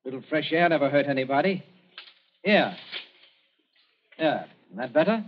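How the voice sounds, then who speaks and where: A man's voice: fairly high pitch, lively intonation, moderate pace. An actor playing a shrewd younger man in a radio drama, coolly suspicious and pointed in his questioning. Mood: probing, suspicious. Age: young adult. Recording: dull lo-fi radio recording.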